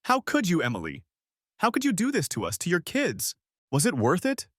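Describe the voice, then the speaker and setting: A man's voice: medium pitch, very animated, a little fast, a bit bright, no room sound, studio quality. A man voicing a furious betrayed wife in a story, biting and indignant. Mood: anguished anger. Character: furious betrayed wife, sharp-tongued and scornful.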